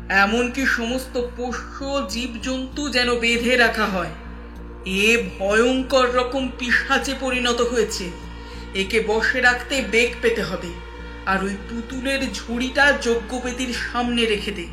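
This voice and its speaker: A woman playing a fierce, commanding tantric holy woman in a horror audio drama, speaking in a stern, deliberate way. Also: on the high side, sharp tone. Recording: roomy echo with music underneath.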